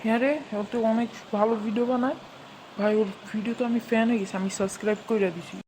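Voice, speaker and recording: A woman's voice: a little high, fairly even intonation, fast talker, mellow, close to an everyday voice. A woman voicing an easygoing, bumbling cartoon uncle with a Bangladeshi Bengali accent, who hesitates and draws out his thinking sounds. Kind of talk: cartoon dubbing. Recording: noisy home recording.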